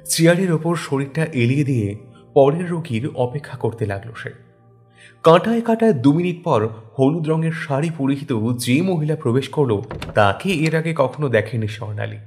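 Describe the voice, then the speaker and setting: A man's voice: on the high side, lively intonation, normal speed, darkish tone. A man giving a scripted narration of a story. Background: background music.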